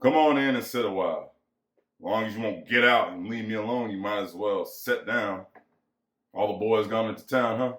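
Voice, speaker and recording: A man's voice: on the low side, moderate pace, fairly bright tone, close to an everyday voice. A man acting as bitter, lonely American ranch hand, echoey. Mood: wary, gruff. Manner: folksy rural vernacular, musing and pressing. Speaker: actor. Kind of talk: dramatic reading of a novel. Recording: echoey room.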